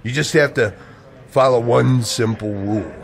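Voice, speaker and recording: A man's voice: medium pitch, normal speed, vocal fry, close to an everyday voice. A man playing a shady, secretive figure in a noir radio drama, giving terse orders in clipped phrases. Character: shady, secretive male acquaintance offering a suspicious job.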